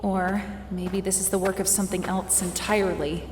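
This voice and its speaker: A woman's voice: fairly low pitch, level tone, normal speed, close to an everyday voice. A woman playing a wary, suspicious teenage girl pondering to herself in an audio drama inner monologue. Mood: puzzled. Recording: echoey reverb with background noise.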